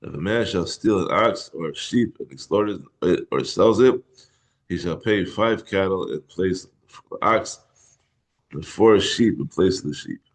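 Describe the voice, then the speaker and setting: Hoarse low-voiced guy teaching talmud, stops and restarts a lot. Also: lively intonation. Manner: halting, clears throat, restarts often. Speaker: Torah teacher. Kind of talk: daily Talmud class.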